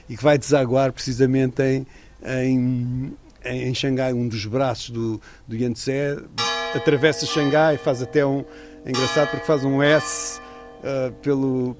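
A man's voice: medium pitch, little pitch movement, unhurried, darkish tone, soft background music. Man telling stories with frequent restarts. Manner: thoughtful, digressive, many restarts. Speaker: author and former correspondent. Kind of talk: radio interview, conversational.

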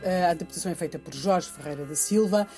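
A woman's voice: fairly low pitch, quick pace, dark tone, soft background music. A woman with a European Portuguese accent presenting a radio documentary, explaining emphatically and repeating phrases for effect.

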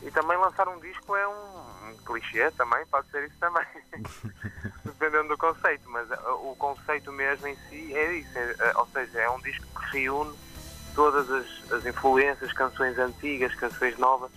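Bright-voiced Porto musician. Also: male voice, medium pitch, moderate pace, faint music behind, low-quality recording. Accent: Porto Portuguese with some Brazilian expressions. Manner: relaxed, chatty, with frequent fillers and restarts. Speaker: musician guest. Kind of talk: radio interview. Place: remote from his own location, on live radio.

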